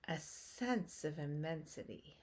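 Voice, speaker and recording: A woman guiding a meditation as a teacher, speaking in short phrases with long pauses and hushed endings. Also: deep voice, animated. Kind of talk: guided meditation, slow spoken instruction.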